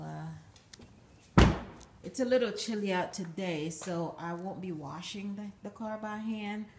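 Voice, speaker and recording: A woman's voice: on the low side, darkish tone, slight background noise. A woman in her thirties chatting casually into a phone held at arm's length inside her car, with plenty of ums and restarts. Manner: unhurried, chatty, many fillers and restarts. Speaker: vlogger. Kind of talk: casual vlog chat. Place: inside a car, then outdoors beside it.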